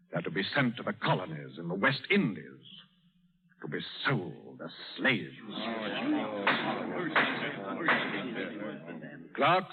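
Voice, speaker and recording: Stern judge on tinny old radio. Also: male voice, medium pitch. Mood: cold. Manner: pompous, sneering. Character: stern, scornful English royal judge. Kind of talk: 1930s-40s radio drama acting.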